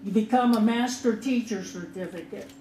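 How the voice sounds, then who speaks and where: A man whose first language is Spanish, an attorney giving earnest public testimony at a hearing, halting now and then to restart. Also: high-pitched, dark tone. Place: hearing room. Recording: echoey room with faint background noise.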